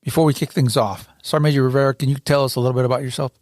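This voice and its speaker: A man with a mid-range voice hosts an interview podcast, reading a scripted opening and then questioning his guests. His delivery is measured, with a few restarts when he speaks off script. The sound is dry and close. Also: medium pitch, flat delivery, darkish tone, dry recording. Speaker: podcast host, managing editor.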